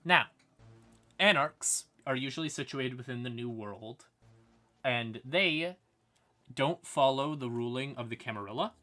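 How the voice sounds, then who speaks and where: Man, mid-pitched, bright forceful voice, informal livestream explainer, halting, wry casual delivery. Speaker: streamer explaining fantasy game lore. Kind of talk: informal livestream lore explainer with asides to chat.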